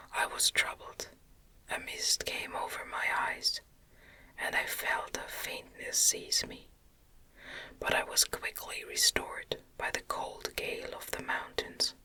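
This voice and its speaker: A woman reading a gothic novel as ASMR in a gentle, breathy, unvoiced whisper, phrase by deliberate phrase. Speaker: ASMR reader.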